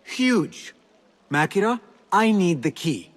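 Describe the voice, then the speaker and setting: Game cutscene infiltrator: dry, long-suffering, slightly slow delivery with animated intonation. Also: male voice, sits high, full character voice. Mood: exasperated. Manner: measured, dry, exasperated. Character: exasperated young male infiltrator posing as a servant. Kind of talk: video game cutscene acting.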